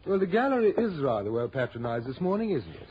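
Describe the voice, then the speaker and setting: An actor playing a formal, fussy older British art gallery owner in a vintage radio drama. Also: male voice, mid-range voice, sing-song, fast, natural voice. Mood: courteous, fretful. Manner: formal, polite, hesitant ums. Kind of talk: 1960s radio drama acting. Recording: dull, noisy old radio recording.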